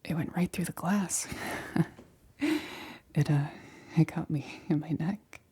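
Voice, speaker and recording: Breathy, halting woman acting a shaken lab scientist. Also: low-pitched, steady tone, dark tone, full character voice. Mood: shaken, insistent. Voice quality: breathy, whispery. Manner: halting, hesitant, stammering. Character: shaken young female lab scientist, awkward and reassuring. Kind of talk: ASMR roleplay, whispered acting.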